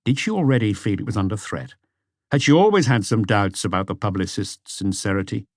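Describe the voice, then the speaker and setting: A man with a lowish voice narrates a mystery audiobook. His pitch moves in a lively way through the prose, and the recording is dry and close. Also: fairly quick, dry recording. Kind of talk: scripted mystery novel narration.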